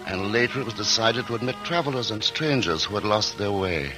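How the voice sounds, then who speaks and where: A man narrates a 1940s radio drama in an earnest, polished way. His voice sits low. Music plays beneath the scene. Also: brisk. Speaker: lead actor and narrator. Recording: old radio broadcast with music.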